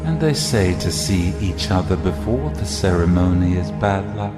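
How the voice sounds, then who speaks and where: A man playing a cool, scheming royal brother in an audio drama, formal and measured in speech. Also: low voice, lively intonation. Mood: cool, courtly. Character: cool, courtly, scheming nobleman, brother of a king. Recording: long reverb with loud music.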